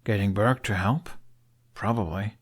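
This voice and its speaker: A man's voice: fairly low pitch, measured pace, breathy edge. A man voicing an awkward, good-natured young dragon tamer in an audiobook, with a hesitant, mumbling manner. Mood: earnest. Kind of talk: audiobook character dialogue.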